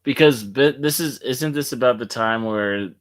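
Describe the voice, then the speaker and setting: A man's voice: medium pitch, moderate pace, dry recording. A man co-hosting a podcast, chiming in with laid-back questions and wry asides. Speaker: podcast co-host. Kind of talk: casual chat.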